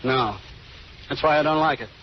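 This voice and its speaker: A man's voice: mid-range voice, normal speed, lightly acted. A man playing a tough gangster boss in an old radio drama, talking in hard slang. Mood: suspicious. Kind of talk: 1930s-40s radio drama acting. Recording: muffled, noisy old radio recording.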